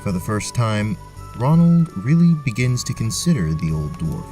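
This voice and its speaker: A man's voice: on the low side, big pitch swings, normal speed, warm tone, recorded from a distance, faint music behind. A man narrating a scripted tabletop fantasy story as the game master.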